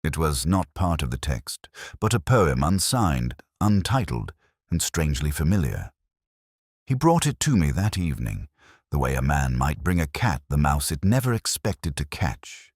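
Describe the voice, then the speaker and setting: An exceptionally deep male voice narrates a literary mystery in the first person. His delivery is brooding and measured, yet lively in its rise and fall. The sound is close and dry, free of room echo. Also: slightly rough.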